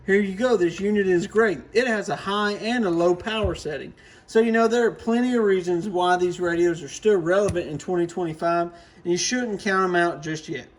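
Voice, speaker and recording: A man's voice: high-pitched, normal speed. A man giving a casual, folksy, plain-spoken product talk to camera as a hobbyist presenter.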